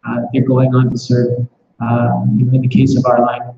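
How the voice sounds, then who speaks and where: A man's voice: mid-range voice, monotone, normal speed, gravelly, background noise. A man leading a scripture class with questions, speaking reflectively and often restarting with repeated words. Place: temple class room. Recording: echoey muffled room recording.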